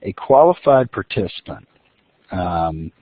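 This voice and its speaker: A man's voice: low-pitched, sing-song, a little slow, no room sound. A man giving a recorded lecture as the instructor, explaining step by step with fillers and restarts. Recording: dry, phone-like lo-fi audio.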